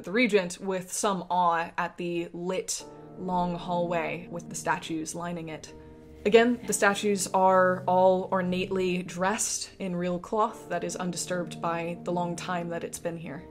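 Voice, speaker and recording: A woman running a tabletop fantasy game as game master, narrating in measured, descriptive phrases like a storyteller. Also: fairly low pitch. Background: background music.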